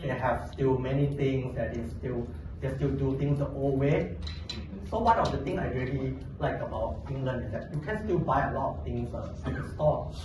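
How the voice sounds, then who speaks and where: A man from Thailand, giving a humorous contest speech with playful, deadpan storytelling. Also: mid-range voice, moderate pace. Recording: echoey hall, distant mic, audience noise.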